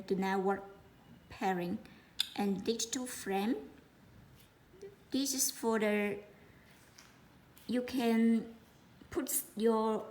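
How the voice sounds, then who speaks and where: Woman doing a product walkthrough, slow n halting, repeats words, bit of background noise. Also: medium pitch, a little mellow. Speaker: product presenter. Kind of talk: unscripted product demonstration.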